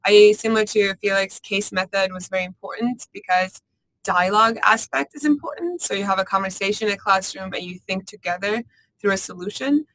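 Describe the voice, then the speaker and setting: A woman from Georgia answering on a student panel in a lively, casual way. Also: mid-range voice, fairly quick, no echo, low-quality recording. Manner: lively, laughs easily.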